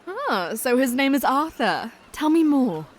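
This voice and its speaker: A woman's voice: high-pitched, sing-song, a little slow. Woman voicing a tender young lady, concerned, audio drama, faint noise. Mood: fond. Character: gentle, worried young woman, modest friend.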